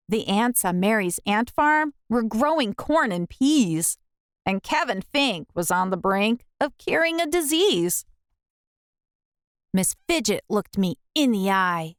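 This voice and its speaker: A woman narrating a rhyming children's picture book in a theatrical, expressive storytelling manner. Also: fairly high pitch, clean tone, dry recording, studio quality. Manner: theatrical, storytelling delivery with emphatic rhyme.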